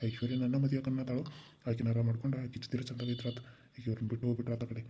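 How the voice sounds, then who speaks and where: A man's voice: high voice, steady tone, fast, mellow, a little hiss or hum, close to an everyday voice. A man voicing a cowardly young man who begs for forgiveness in a whining North Karnataka accent. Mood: sly muttering. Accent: North Karnataka Kannada. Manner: whining, begging.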